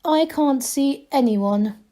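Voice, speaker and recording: A woman voicing an ancient gnome abbot in a storybook reading, smooth, condescending and mockingly kind. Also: sits high, slow pace, warm tone, voice pushed up. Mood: smug, dismissive. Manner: smooth, condescending, mock-kindly.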